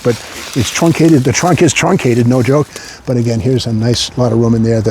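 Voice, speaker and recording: A man's voice: medium pitch, a little mellow, slight background noise. A man hosting an unscripted walkaround car commentary in a rambling, repetitive way with wry asides. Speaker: car show host.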